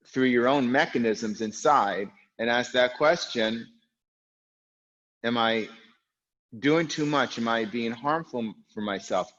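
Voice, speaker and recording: A man guiding an online yoga class, with a loud, strong voice, calm and reflective, speaking in unhurried phrases with pauses. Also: mid-range voice, dull audio. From United States. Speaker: yoga teacher. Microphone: recorded for an online class video.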